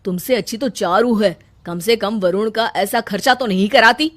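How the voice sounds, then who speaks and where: A woman's voice: medium pitch, moderate pace, natural voice. A woman voicing an irritated, reproachful husband in a cartoon story, nagging and accusing. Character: irritated husband arguing, reproachful adult man.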